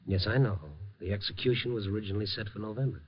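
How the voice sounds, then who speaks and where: A man's voice: lowish voice, fairly even intonation, fast, dry recording, natural voice. An American actor playing a streetwise, compassionate newspaperman in a hard-boiled 1950s radio drama, firm and reassuring. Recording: muffled old radio recording.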